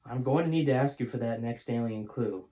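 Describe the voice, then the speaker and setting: A man's voice: medium pitch, fairly quick, warm tone, natural voice. Man playing a determined, anxious father. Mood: earnest.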